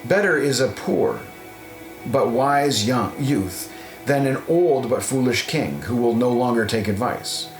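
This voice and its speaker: A man's voice: medium pitch, animated, unhurried. Man reading scripture in a roomy echo. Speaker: audiobook narrator. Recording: roomy echo, music underneath.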